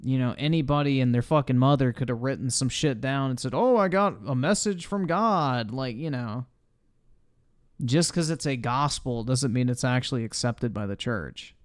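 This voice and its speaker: A man's voice: medium pitch, normal speed. A man livestreaming casual reaction commentary in a blunt, profane manner.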